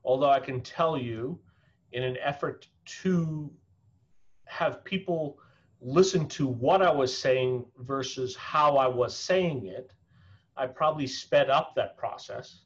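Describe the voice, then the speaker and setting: A man with an American accent, originally from South Africa, recounts his past as an interview guest, earnest and halting. His voice sits at an ordinary middle pitch. The recording is a bit dull and lo-fi. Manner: earnest, halting with many restarts and fillers. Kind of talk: reflective personal storytelling.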